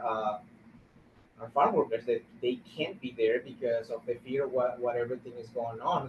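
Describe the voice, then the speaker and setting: A man speaking informally on a webinar as a community organizer, halting and searching for words. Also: medium pitch. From Guatemala. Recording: roomy, distant, muffled webinar audio with noise.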